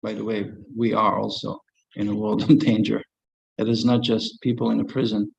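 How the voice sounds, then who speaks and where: A man's voice: lowish voice, flat delivery, normal speed, gravelly, husky, muffled recording. A man giving a devotional lecture as a spiritual teacher, conversational, chuckling, and asking rhetorical questions.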